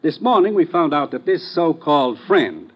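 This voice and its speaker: An American actor playing an authoritative government commissioner giving grave, deliberate briefings in a 1950s radio drama. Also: male voice, on the high side, sing-song, breathy edge. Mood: urgent, grave. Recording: dull, lo-fi vintage radio recording.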